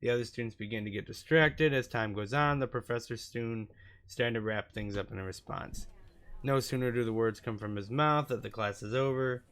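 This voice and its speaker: A man's voice: medium pitch, brisk, fairly bright tone, clear, resonant tone. A man reading a visual novel aloud as a gaming YouTuber, sometimes stumbling and rereading phrases. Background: music from the game.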